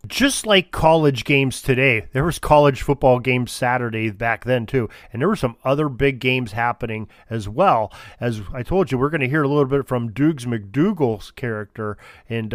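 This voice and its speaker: A man's voice: medium pitch, animated, normal speed, hardly any pauses, no room sound, studio quality. A man hosting a sports history podcast in a casual, conversational, enthusiastic way. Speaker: podcast host.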